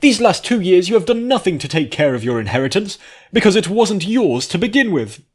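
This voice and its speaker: A man voicing an outspoken, scolding female pony noble with an assertive, falsetto-like voice in a fantasy story. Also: big pitch swings, brisk, slightly rough, full character voice. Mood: accusing.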